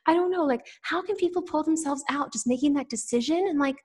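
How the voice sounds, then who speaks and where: A woman's voice: high-pitched, a little mellow. A woman hosting a podcast, asking questions in a bubbly, casual way. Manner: bubbly, quick, rising questions. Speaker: podcast host. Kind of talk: casual interview chat.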